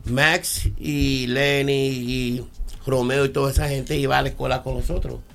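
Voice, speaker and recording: A man's voice: mid-range voice, flat delivery, vocal fry, a bit bright. A man with a Puerto Rican accent, a guest telling his story in an interview, often stopping to repeat words and asking if he is understood. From born in New York, raised in the Bronx. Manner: halting, repeats words, asks "¿entiende?".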